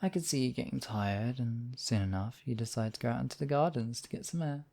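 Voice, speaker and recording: A man's voice: mid-range voice, a little fast, gravelly, darkish tone. A man playing a smug, obsessive demon king in a theatrical audio roleplay, silky and possessive in his wooing. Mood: wistful, wooing. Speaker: voice actor. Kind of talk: theatrical roleplay monologue, ASMR audio drama.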